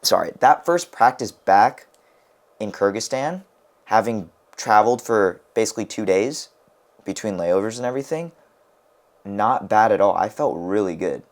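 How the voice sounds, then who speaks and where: Deep-voiced young man telling stories. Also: animated, moderate pace. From United States. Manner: rambling storyteller, heavy on "like". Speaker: podcast co-host and wrestler. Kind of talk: casual podcast chat.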